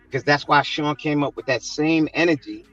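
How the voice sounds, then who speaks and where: A man's voice: fairly high pitch, fairly even intonation, normal speed, fairly bright tone, no echo, highs cut off, faint music behind. A man arguing insistently in a heated panel debate, pressing his point.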